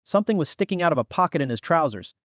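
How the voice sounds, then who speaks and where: A man's voice: a little high, big pitch swings, very fast, no echo, studio quality. A man reading scripted fantasy fiction as an audiobook narrator.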